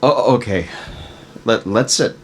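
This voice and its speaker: An actor playing a guilt-ridden father in a dramatic script reading, halting and pleading as he speaks. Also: on the low side, slight background noise. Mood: hesitant, confessing. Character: guilt-ridden, evasive father hiding a terrible secret. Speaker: actor in a screenplay table read.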